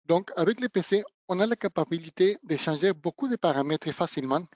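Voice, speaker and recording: A man who is not a native speaker of the language, giving a webinar demonstration of training equipment and pausing often to search for words. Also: high voice, animated, a little mellow, no echo, lo-fi sound. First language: not French. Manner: halting, searching for words, non-native phrasing. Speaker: product trainer.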